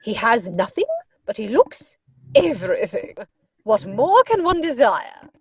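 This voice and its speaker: An imperious older aristocratic aunt in a comic play reading. Her pitch swings widely through pompous, deliberate phrases. The voice is husky, heard dry and close. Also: sing-song, no echo, full character voice. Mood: indignant, grand. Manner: pompous, drawn-out, deliberate delivery.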